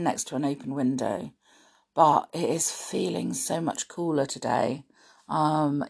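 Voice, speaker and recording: Croaky-voiced woman chatting to children. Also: low voice. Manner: warm chatty aside-filled talk with many ums and little laughs. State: croaky morning voice. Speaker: children's podcast host and storyteller. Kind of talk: chatty spoken intro to children's story podcast.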